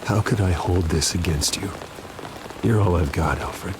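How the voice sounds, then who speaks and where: A man's voice: deep voice, unhurried. An actor playing a brooding, wounded heir in a video game, terse and intense in his delivery. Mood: hurt. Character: brooding, wounded adult heir demanding the truth about his father. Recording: clear rain noise behind. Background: rain.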